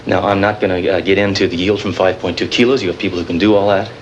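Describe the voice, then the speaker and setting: An actor playing a nervous but defiant technical expert turned terrorist in a TV drama, explaining in a halting, rambling way. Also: male voice, low voice, fairly even intonation, muffled recording. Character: nervous but defiant male bomb-builder terrorist with technical know-how. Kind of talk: naturalistic TV drama acting. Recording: noisy 1980s TV live-feed audio.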